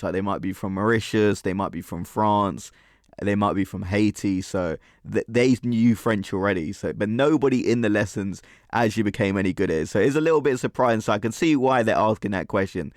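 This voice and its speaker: A man's voice: fairly low pitch, no echo, studio quality. A British man giving casual, opinionated reaction commentary in a chatty, rambling way. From United Kingdom.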